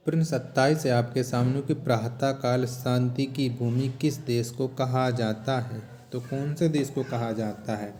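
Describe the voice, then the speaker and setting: A man's voice: medium pitch, mellow. A man giving an instructional quiz lesson as an exam-prep teacher, repeating questions before answering them.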